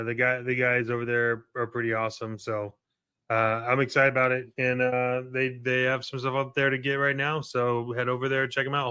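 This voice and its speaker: Man, podcast co-host, bright forward tone, clean clear voice, loose hesitant phrasing, casual promo chat. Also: mid-range voice, no room sound, studio quality.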